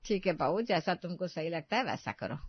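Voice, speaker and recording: A woman's voice: on the low side, lively intonation, normal speed, breathy edge, dry recording, muffled recording, voice pushed down. A woman voicing a middle-aged mother-in-law in a cartoon story, mildly chiding but indulgent. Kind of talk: cartoon moral-story dialogue.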